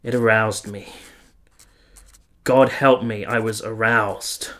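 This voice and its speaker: An actor playing a weary, earnest, lovestruck army officer who narrates a radio play in solemn, literary, confessional language. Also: male voice, fairly low pitch, fairly even intonation, takes it slowly, a bit bright. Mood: fervent awe. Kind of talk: radio play, first-person letter narration.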